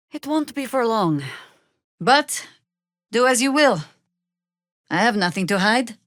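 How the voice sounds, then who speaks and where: An actress playing a world-weary, wry middle-aged veteran druid who leads rebels in a video game, with a dry, measured delivery. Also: female voice, mid-range voice, dry recording, lightly acted. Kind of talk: video game character acting.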